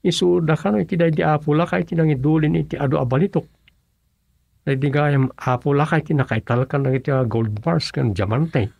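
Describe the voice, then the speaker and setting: An actor playing an earnest, insistent teller of absurd tall tales in a comic Ilocano radio drama, with an Ilocano accent. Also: male voice, on the high side, steady tone, fairly quick, vocal fry, a little mellow, dry recording. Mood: earnest boasting.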